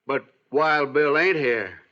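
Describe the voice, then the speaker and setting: An actor playing a smug, obstructive small-town constable in an old-time radio western, folksy and quietly menacing. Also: male voice, on the high side, animated, slow pace, exaggerated voice. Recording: dull vintage radio recording.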